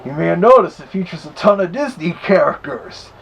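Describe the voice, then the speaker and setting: A chatty cartoon wolf played by a man hosts a casual review vlog. The voice sits a little high for a male, with a gravelly creak and a husky, rough edge. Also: animated, a little fast, exaggerated voice. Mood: chatty, easygoing. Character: Big Bad Wolf, chatty cartoon villain reviewing records. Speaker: vlog host.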